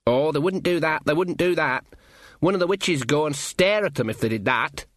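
A man's voice: on the high side, lively intonation, normal speed, fairly bright tone, no room sound, clean studio sound, voice pushed up. A man voicing a simple, good-natured country youth in a storybook, earnest and matter-of-fact.

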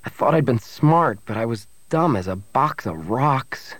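A man's voice: mid-range voice, animated, a little slow, a bit bright, no echo. A man voicing a brash young rogue in an audiobook, fierce on the surface and tender underneath. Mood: earnest, tender.

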